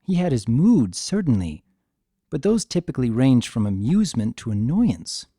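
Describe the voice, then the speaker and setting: A man giving a dramatic, deliberate reading of a scripted story as its narrator. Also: mid-range voice, slightly rough, no echo, studio quality. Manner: deliberate, expressive. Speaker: audiobook narrator.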